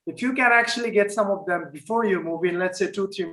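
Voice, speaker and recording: High-voiced man on a video call. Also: fast, pushed and bright. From Finland. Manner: conversational, with frequent fillers and restarts. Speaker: panel guest. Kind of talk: live panel discussion.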